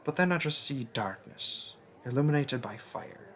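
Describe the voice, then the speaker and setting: A man's voice: medium pitch, expressive, moderate pace, a bit bright, close to an everyday voice. A man voicing a friendly young male seer at a magic college who rambles earnestly about no one believing his visions. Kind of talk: audio roleplay.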